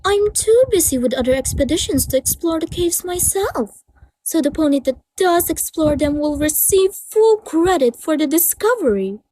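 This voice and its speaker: A woman's voice: high-pitched, animated, normal speed, mellow, no room sound, poor audio. A woman voicing a learned, self-assured cartoon pony professor, formal and lecturing, in a comic dub.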